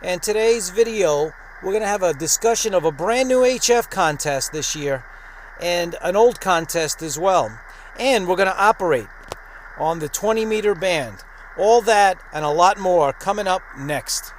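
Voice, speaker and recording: A man's voice: sits high, animated, normal speed. A man, presenting an amateur radio vlog in an explanatory way. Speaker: host of an amateur radio channel. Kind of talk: vlog narration with radio call-sign operating. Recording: clearly noisy recording.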